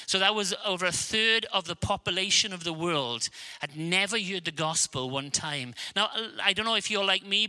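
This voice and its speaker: A middle-aged Welsh man preaching a sermon, earnest and emphatic, dropping low and slow on key lines. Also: bright, forceful tone. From Wales. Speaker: guest preacher.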